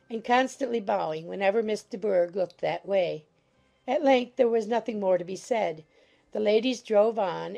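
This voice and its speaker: Mellow, creaky-voiced woman narrating. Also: medium pitch. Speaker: audiobook narrator. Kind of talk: scripted literary narration.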